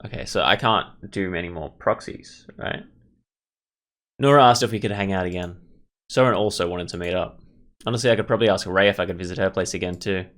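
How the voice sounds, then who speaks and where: A man's voice: low voice, fairly quick, a bit bright. A man hosting a visual novel playthrough, reading aloud and commenting in a casual, reflective, tentative way. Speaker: gaming video host. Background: faint music.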